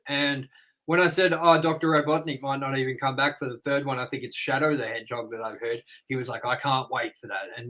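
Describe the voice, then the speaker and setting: A man's voice: mid-range voice, fairly bright tone, rough recording. A man in his thirties co-hosting a movie review show, chatting casually and telling rambling stories with casual swearing.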